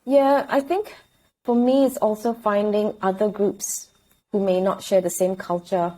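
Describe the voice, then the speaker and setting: A woman's voice: medium pitch, steady tone, unhurried, mellow. A woman in her thirties from Singapore, a podcast guest speaking in a reflective, hesitant way that often corrects itself. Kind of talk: casual interview.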